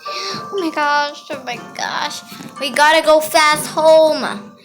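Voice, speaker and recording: A young female gamer improvising an anxious, dramatic roleplay over gameplay, with loud music behind the voice. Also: high voice, steady tone, takes it slowly. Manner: dramatic, anxious play-acting. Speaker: gaming video creator.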